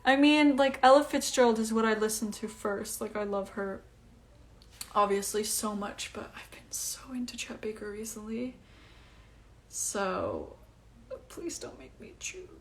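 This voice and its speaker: A woman's voice: on the high side, unhurried. A young woman, a singer chatting casually at home during a phone livestream, answering comments in a breezy way with lots of fillers. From Iceland. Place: at home, indoors.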